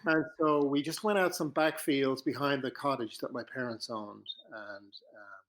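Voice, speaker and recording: An Irish man in his fifties, a podcast guest telling his life story in a relaxed, reflective way, with pauses and restarts. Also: medium pitch. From Ireland. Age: 50s.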